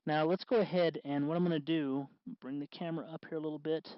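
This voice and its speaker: A man hosting a casual hands-on DIY tutorial, explaining in a halting, unscripted way, with slightly muffled sound. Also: on the high side, steady tone, no echo. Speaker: host of DIY electronics tutorial.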